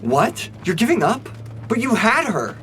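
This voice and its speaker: An eager young rookie cop in a noir audio drama. The mid-pitched voice moves in a lively way, stubborn and insistent, with faint noise behind it. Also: measured pace. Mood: incredulous. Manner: indignant, insistent.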